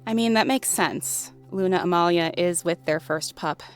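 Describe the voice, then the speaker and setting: A woman's voice: lowish voice, moderate pace, soft background music, natural voice. A woman playing a gracious, plain-spoken mate of a werewolf pack leader in a fantasy audio drama. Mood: matter-of-fact. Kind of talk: scripted fantasy audio roleplay.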